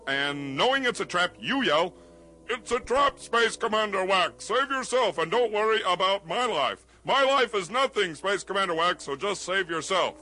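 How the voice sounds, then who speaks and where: A man's voice: a little high, lively intonation, normal speed, bright, forceful tone. A man playing a pompous, silly space hero commander with a blustering, theatrical manner in a comedic radio serial. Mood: theatrical, insistent.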